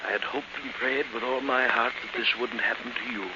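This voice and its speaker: An actor playing a paternal, imperious old uncle, speaking formally and theatrically in 1940s radio thriller style. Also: male voice, mid-range voice, steady tone, fairly quick, close to an everyday voice. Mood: soothing, grave. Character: soothing, imperious old uncle with a family secret. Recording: dull, noisy old 1940s radio recording.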